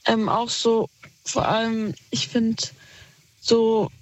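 A woman's voice: on the high side, flat delivery, takes it slowly, muffled recording, slight background noise. A woman calling in to a radio show on her phone, talking hesitantly with many fillers. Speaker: caller. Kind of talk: phone-in conversation. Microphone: phone held to ear.

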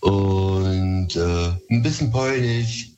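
A man's voice: low-pitched, drawn-out words, darkish tone. A man from Berlin with a Berlin accent, calling a radio show by phone, chatting casually in a hesitant, slightly nervous way. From Berlin, Germany. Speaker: phone-in caller. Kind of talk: casual chat. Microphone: phone call to a radio show. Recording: dull lo-fi phone call.

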